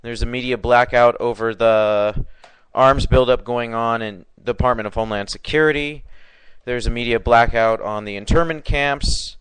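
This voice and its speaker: A man's voice: mid-range voice, normal speed, fairly bright tone, clean tone, poor audio. A man hosting a live talk show who has partly lost his voice, speaking in a rambling way with many fillers and restarts. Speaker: podcast host.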